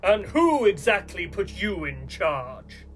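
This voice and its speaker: An actor playing a courteous but menacing male villain who bosses elves in a radio play, grandiose, formal and measured. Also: sits high, big pitch swings, soft background music, full character voice. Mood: coolly courteous.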